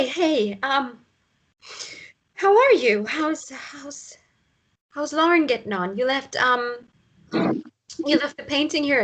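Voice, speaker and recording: A woman's voice: high-pitched, fairly bright tone, husky, heavily acted, rough recording. A woman acting a hesitant, emotionally fragile woman in love in a drama script read.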